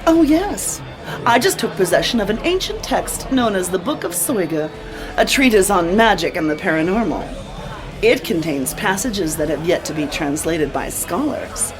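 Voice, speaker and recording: A woman's voice: mid-range voice, sing-song, moderate pace, music in the background, lightly acted. Actress playing a theatrical, flirtatious occult bookseller woman. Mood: flirtatious, boastful. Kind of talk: audio drama acting.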